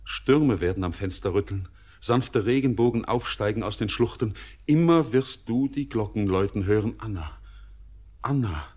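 An actor playing a haunted, yearning young stranger in a classic radio drama, declaiming poetic in a solemn, intense, husky voice. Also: male voice, on the low side, normal speed, darkish tone, natural voice. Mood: dark foreboding.

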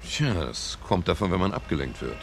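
An actor playing a middle-aged, world-weary private detective in a German radio crime drama, narrating and talking in a dry, wry, musing way. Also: male voice, low voice, big pitch swings, normal speed, vocal fry, slight background noise, exaggerated voice. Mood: wry, reserved.

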